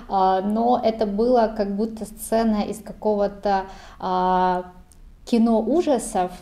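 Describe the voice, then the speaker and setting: A woman in her thirties narrates her road trip in a chatty, conversational way. Her voice is mellow and warm rather than bright, with a medium pitch. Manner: chatty, laughing storyteller. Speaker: travel vlogger. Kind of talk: casual travel narration.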